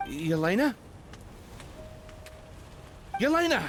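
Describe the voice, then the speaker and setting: A man's voice: sits high, big pitch swings, takes it slowly, faint music behind, exaggerated voice. An actor playing a guilt-ridden, pleading young man in a dramatic video game scene. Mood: anguished, remorseful.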